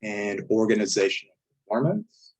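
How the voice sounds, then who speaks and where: A man with a hoarse, failing voice who often clears his throat, walking step by step through a statistics software tutorial over a screen recording. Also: lowish voice, very animated, moderate pace, poor audio. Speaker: statistics instructor. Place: indoors at a computer, voice-over on screen capture.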